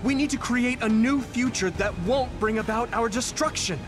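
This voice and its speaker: Casting: young idealist game hero, notably high light male voice, sincere and imploring, music underneath. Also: moderate pace. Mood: earnest pleading. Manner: earnest, imploring. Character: earnest, idealistic young male hero, pleading yet determined. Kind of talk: dramatic video game acting.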